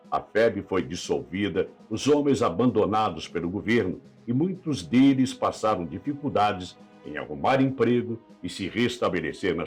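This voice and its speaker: A man's voice: fairly low pitch, darkish tone, husky. A man with a Brazilian accent narrating a scripted documentary in a solemn, dramatic, rhetorical manner. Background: background music.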